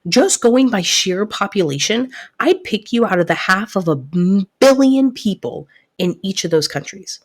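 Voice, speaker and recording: A woman playing a devoted, protective best friend in an intimate audio roleplay, soft-spoken and earnest, easily flustered. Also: medium pitch, animated. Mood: insistent, sincere. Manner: earnest, reassuring, halting when flustered.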